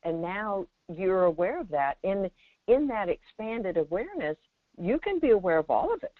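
A woman speaks as a meditation teacher in a call-in conversation, offering spiritual guidance with emphasis and frequent tag questions. Her voice has a medium pitch, and the sound is very dry and somewhat muffled, like a lo-fi call. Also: sing-song, moderate pace, no room sound. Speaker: meditation teacher taking callers. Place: remote audio call. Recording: dull, lo-fi call audio.

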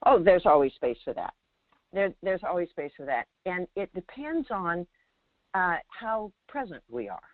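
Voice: mid-range voice, big pitch swings, unhurried, no room sound. A teacher guiding a caller in conversation, speaking emphatically, repeating phrases and checking in with short questions, over a phone line. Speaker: host, meditation teacher answering a caller. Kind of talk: spiritual guidance in a call-in conversation. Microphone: phone call. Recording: narrowband phone line sound.

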